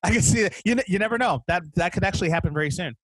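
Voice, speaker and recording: A man's voice: high-pitched, expressive, very fast, a bit bright, husky, dry recording, poor audio. A man hosting a podcast in a casual, chatty, informal way, often repeating his phrases. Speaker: podcast host.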